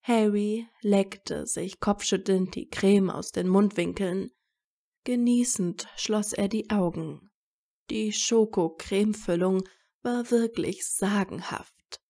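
A woman narrates a scripted story at an unhurried pace. Her voice is mellow and mid-pitched, with noticeable fry.

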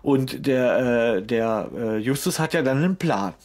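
A man's voice: medium pitch, lively intonation, measured pace, a little mellow. A man co-hosting a podcast in casual banter, talking colloquially with crude humour. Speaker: podcast co-host. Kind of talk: casual banter about an audio drama series.

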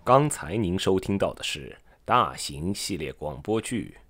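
A man's voice: low-pitched, big pitch swings, a little slow, fairly bright tone, slightly rough. A man formally announcing a radio drama series in a grand, declamatory announcer style.

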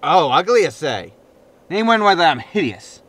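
A man's voice: a little high, very animated, normal speed, fairly bright tone. A man playing a grumpy, self-excusing spaceship captain in a sci-fi comedy audio drama, sardonic and grumbling. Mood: irritated.

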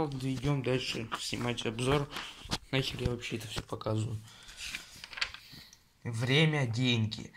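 A man talks at an ordinary middle pitch, rambling through a casual review at his home desk. He grumbles, trails off and turns to his viewers with asides. Faint noise and small clicks sit behind his voice. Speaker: magazine reviewer. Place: indoors at home, desk with magazines.

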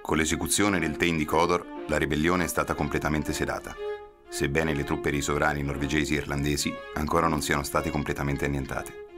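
Super low guy narrating a radio drama, scripted and dramatic, music playing behind. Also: flat delivery, brisk.

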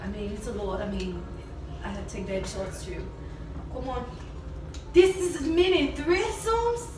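Loud young woman, echoey room. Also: a little high, measured pace, very noisy. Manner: loud, laughing, freestyle rapping. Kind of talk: casual party game chat. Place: small apartment dining room. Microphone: far camera. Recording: echoey room from far off, music behind.

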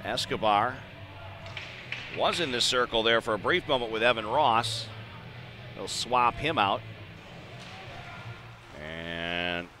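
An American man calling live ice hockey play-by-play in a conversational way, with short clipped phrases. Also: lowish voice. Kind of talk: live sports commentary. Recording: live arena broadcast with clear background noise.

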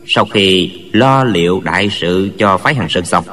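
A man playing a young swordsman and new sect leader in a martial arts audiobook, wry and dutiful, speaking in a measured, courteous way. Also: low-pitched, a bit bright, rough recording. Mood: brooding inner thought.

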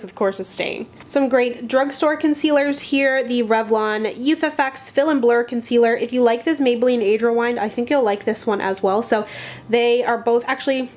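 A woman's voice: on the high side, a little mellow. A young woman in her twenties reviewing products in a casual vlog, talking chattily and upbeat, slightly stuffed up from a flu. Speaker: beauty vlogger. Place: bright room at home, indoors.

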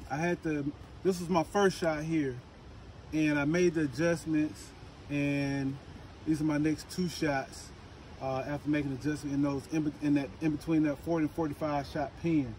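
A man's voice: fairly high pitch, normal speed, slight background noise. A middle-aged man casually talking through his archery shots outdoors on a wooded range, recorded on a phone camera a few feet away. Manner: relaxed, chatty, explains his shots. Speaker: father shooting archery. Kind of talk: casual outdoor vlog talk.

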